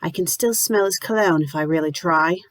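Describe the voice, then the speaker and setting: A woman voicing a grieving young widowed mother who pleads in a high, strained voice. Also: normal speed, no room sound, studio quality, lightly acted. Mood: mournful.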